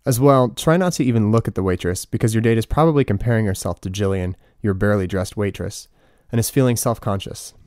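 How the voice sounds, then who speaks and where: A man's voice: lowish voice, quick pace, a little mellow, no room sound. A man in his twenties reading his own humorous book as scripted narration, with wry, dry comic asides. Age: 20s. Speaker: author reading own audiobook.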